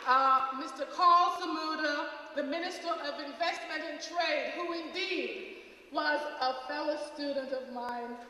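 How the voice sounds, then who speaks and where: A woman's voice: sits high, pushed and bright, slight background noise. A middle-aged woman from Jamaica, a college president, giving a stately formal welcome speech to an audience. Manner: measured, stately address. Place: large auditorium. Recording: echoey hall recording from far off.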